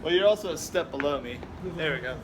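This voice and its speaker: A man's voice: a little high, animated, quick pace. A man vlogging casually on a handheld camera, joking and laughing often as he talks. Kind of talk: casual vlog chat. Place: outdoors and in a busy burger restaurant. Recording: noisy crowd around.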